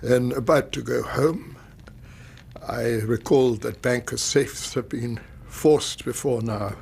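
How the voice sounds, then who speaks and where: Anxious English banker, creaky-voiced. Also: male voice, medium pitch, a little mellow, full character voice. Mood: anxious, precise. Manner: formal, halting, agitated storytelling. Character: anxious, grieving middle-aged widowed banker and doting father. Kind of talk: radio drama acting.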